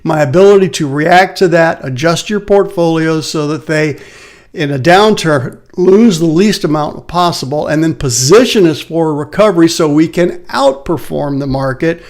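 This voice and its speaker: A man hosting a live market update from his office, tired and a little raspy, talking earnestly and plainly. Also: fairly high pitch, normal speed. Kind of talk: casual live market commentary.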